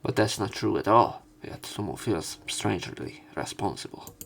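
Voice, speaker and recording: A man's voice: fairly low pitch, normal speed, gravelly. A man reading a visual novel aloud as a let's player, acting out the dialogue expressively. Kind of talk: dramatic read-aloud narration of a visual novel.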